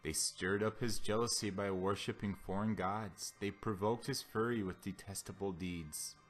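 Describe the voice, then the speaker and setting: A man giving a solemn scripture reading as a Bible reader, with deliberate, declamatory phrasing. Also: lowish voice, fairly even intonation. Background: background music.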